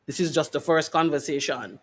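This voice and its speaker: A woman's voice: low voice, fairly quick. A woman hosting a faith-based radio show in a conversational interview style.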